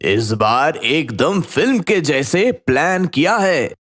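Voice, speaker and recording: A man voicing a sneaky thief in an animated story, grumbling and melodramatic. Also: fairly high pitch, slow. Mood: sly, confident.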